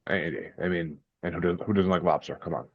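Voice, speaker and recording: A man's voice: deep voice, fairly even intonation, fast talker, husky, dry recording, highs cut off. A man from Massachusetts, a podcast guest, chatting in a laid-back, hesitant way and often repeating his words. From Massachusetts, USA. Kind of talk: casual interview chat.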